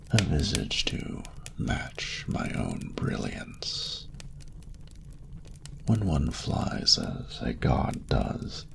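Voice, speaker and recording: A man voicing a proud, flirtatious male dragon in an intimate romantic audio roleplay, with a gravelly, half-whispered rumble and formal, grandiose, unhurried phrasing. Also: exaggerated voice. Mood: flirtatious, grand.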